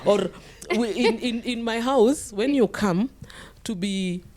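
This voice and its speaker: A woman's voice: fairly high pitch, a little slow, darkish tone. A middle-aged woman speaking as a podcast guest, giving conversational advice emphatically and repeating phrases for stress.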